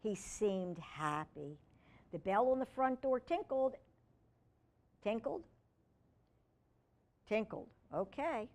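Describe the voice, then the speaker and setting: A woman's voice: a little high, lively intonation, takes it slowly, long pauses, a little mellow. A woman reading aloud as a children's storyteller, with informal asides along the way.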